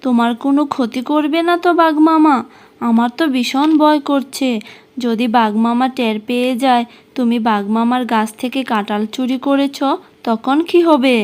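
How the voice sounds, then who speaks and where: A high-voiced fox wife from a children's cartoon, acted by a woman. She is devoted and anxious, fretting and pleading as she speaks. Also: measured pace, a little mellow. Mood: surprised, fearful. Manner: fretful, pleading. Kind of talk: children's cartoon character acting.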